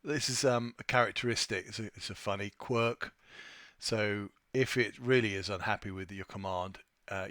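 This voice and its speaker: A man presenting an informal software tutorial, thinking aloud in a somewhat hesitant way as he demonstrates. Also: on the low side, dry recording, clean studio sound. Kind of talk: informal screen-share walkthrough.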